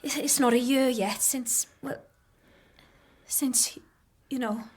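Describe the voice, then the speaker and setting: An actress playing a warm, earnest young pregnant doctor's wife in a naturalistic radio drama, with a breathy voice. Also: female voice, high voice, fairly even intonation, takes it slowly. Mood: tender, sorry. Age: young adult.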